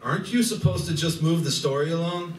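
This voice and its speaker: A man's voice: fairly high pitch, brisk, close to an everyday voice. A man playing a grumpy, wry, exasperated furry alien in disguise in a live radio play. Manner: wry, complaining. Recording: echoey live venue, distant mic, clear noise.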